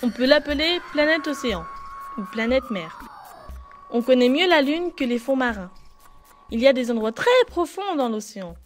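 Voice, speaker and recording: A woman's voice: high-pitched, lively intonation, normal speed, faint music behind. A woman reading scripted educational narration for children, explaining things and addressing the viewers directly.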